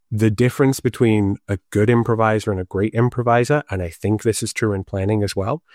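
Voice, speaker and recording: A man's voice: lowish voice, a little mellow, no echo, studio quality. A man with a Liverpool accent, a planner and improviser, talking animatedly and self-deprecatingly in an interview. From Liverpool, England. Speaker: guest, urban planner and improv comedian. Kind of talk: conversational podcast interview.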